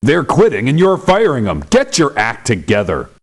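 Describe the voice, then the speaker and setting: An actor playing a brash, sarcastic mercenary locksmith in a video game, with a scornful way of talking. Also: male voice, mid-range voice, wildly swooping pitch, moderate pace, slightly rough, exaggerated voice. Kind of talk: video game character voice lines.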